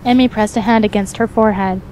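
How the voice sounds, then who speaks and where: A woman's voice: medium pitch, fairly even intonation, fast talker, darkish tone, a little hiss or hum, low-quality recording. A woman reading scripted fan fiction as the narrator.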